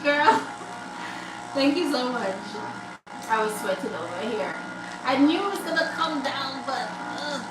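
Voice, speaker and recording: Slow-talking Jamaican woman, chatty and laughing. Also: fairly high pitch, recorded from a distance. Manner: chatty, laughs often, thanks viewers warmly. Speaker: livestream host. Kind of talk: casual live-stream chat. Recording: roomy sound from off-mic, background noise.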